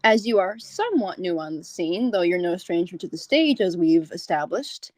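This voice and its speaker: A woman's voice: fairly low pitch, expressive, moderate pace, darkish tone, dry recording. A woman hosting a conversational interview, building up to her questions in long, wordy sentences. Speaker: podcast host, interviewer.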